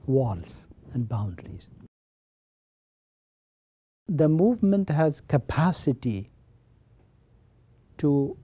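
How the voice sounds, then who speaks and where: Pakistani-accented man, dark mellow voice. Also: mid-range voice. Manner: earnest, explanatory, repeats key phrases. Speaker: college religion teacher and chaplain. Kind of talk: interview talk.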